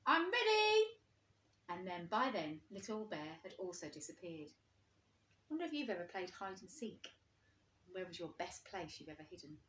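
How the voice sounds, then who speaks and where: A woman's voice: fairly low pitch, expressive, moderate pace, a little hiss or hum. A woman reading a storybook aloud to young children like a teacher, expressively and with questions for her listeners. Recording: roomy, echoing indoor sound.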